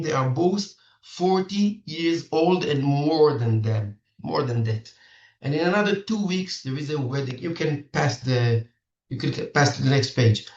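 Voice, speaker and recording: A middle-aged man with an Israeli accent, a native Hebrew speaker, coaching parents in halting, earnest English full of restarts over a video call. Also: mid-range voice. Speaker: dating coach for singles. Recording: distant, roomy, dull video-call audio.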